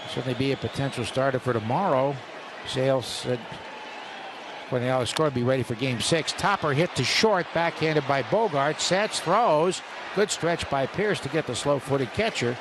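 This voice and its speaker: A man calling live baseball play-by-play on the radio, building up on big plays and reading stats between pitches. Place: stadium broadcast booth. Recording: radio broadcast with loud crowd noise.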